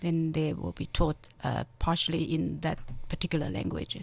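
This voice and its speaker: A woman from China whose first language is Hakka, speaking as a teacher guest on an interview podcast, with halting phrasing and many short fillers. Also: sits low, creaky, a little mellow. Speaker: Mandarin teacher in charge at a school.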